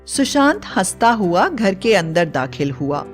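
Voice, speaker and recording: A woman's voice: medium pitch, very animated, measured pace. A woman narrating a scripted bedtime story as a storyteller. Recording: faint music bed. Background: soft background music.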